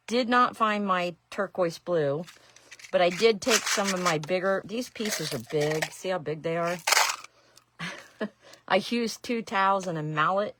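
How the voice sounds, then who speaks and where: A woman's voice: lowish voice, background noise. A middle-aged woman from Ohio with an Ohio accent, chatting casually and rambling as she works on a craft during a live stream. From Ohio, USA. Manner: chatty, rambling, self-deprecating asides. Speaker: resin artist and painting teacher.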